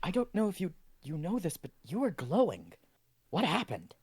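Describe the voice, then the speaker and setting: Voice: medium pitch, huge pitch swings, normal speed, a little mellow, a little hiss or hum. A player voicing a professor who speaks in an earnest, caring way, leading the others. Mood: concerned. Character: concerned professor leading the group.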